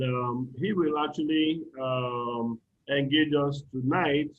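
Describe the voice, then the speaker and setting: A man with a Nigerian accent moderating an informal online meeting and calling on participants. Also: mid-range voice. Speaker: workshop host calling on participants. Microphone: video call. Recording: dull lo-fi online call audio.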